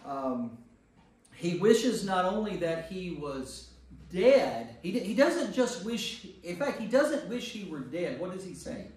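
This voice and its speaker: A man's voice: sits high, big pitch swings, slow pace, darkish tone. American man teaching in a very echoey room. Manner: conversational, puts questions to listeners, checking in with "right?". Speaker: priest leading a Bible study. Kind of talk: informal Bible lesson to a group. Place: indoor church meeting room with a group. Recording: echoey room from far off, noisy.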